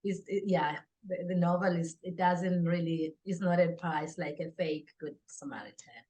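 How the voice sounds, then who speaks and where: A woman's voice: on the low side, fairly even intonation, moderate pace, mellow. A woman answering as an interview guest in a conversational style. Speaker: interview guest, author, educator and singer.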